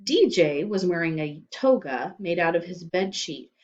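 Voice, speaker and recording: A woman storyteller reading a children's book aloud in animated scripted narration. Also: lowish voice, unhurried, highs cut off.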